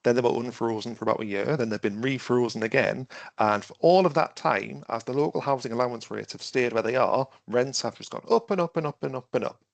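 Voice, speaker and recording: Gravelly-voiced man leading a webinar. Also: medium pitch, a little fast, dry recording. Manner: conversational, thinks aloud with restarts, asks the audience questions. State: has a cough and is losing his voice. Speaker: webinar trainer. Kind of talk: interactive online training talk.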